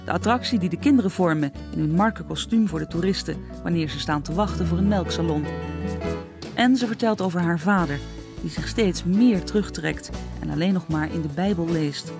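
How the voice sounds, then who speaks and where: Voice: medium pitch, brisk, vocal fry. Radio drama narrator over prominent music. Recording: music under the voice.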